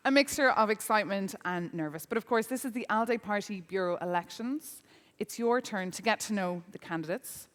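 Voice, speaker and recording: An Irish woman moderating a conference debate, upbeat and welcoming, giving brisk instructions to the audience. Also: medium pitch. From Ireland. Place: large conference hall. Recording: reverberant conference hall.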